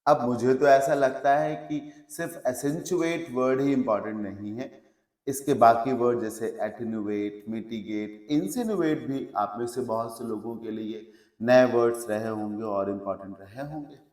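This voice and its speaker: A man's voice: medium pitch, normal speed, a little mellow, echoey room, recorded from a distance. A male English teacher giving an online vocabulary lesson, explaining each word in Hindi mixed with English terms, listing synonyms and checking in with his students. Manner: checks in with the class.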